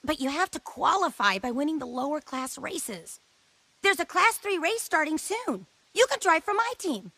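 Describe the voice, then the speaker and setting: An actress playing a smart, earnest young mechanic in a video game cutscene. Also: female voice, high voice, very animated, moderate pace, sharp tone, dry recording, exaggerated voice. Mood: eager.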